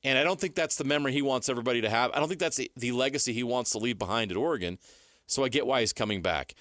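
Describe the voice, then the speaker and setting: A man's voice: medium pitch, rapid-fire, a bit bright, no room sound. Blunt American man talking sports, dry studio sound. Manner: opinionated, blunt, informal. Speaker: sports talk show co-host. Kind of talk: conversational sports commentary.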